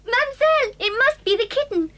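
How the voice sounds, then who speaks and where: A woman's voice: extremely high pitch, animated, moderate pace, pushed and bright, slight background noise, heavily acted. An actress playing a playful, girlish English schoolgirl with an earnest manner in a children's audio drama. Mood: mock concern. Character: playful English schoolgirl feigning worry over a kitten.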